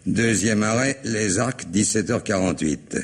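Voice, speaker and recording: An actor playing a methodical, insistent police chief in an old French radio crime drama, probing and thinking aloud. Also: male voice, lowish voice, a little slow, husky. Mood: methodical, reasoning. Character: gruff, methodical male police chief leading an investigation.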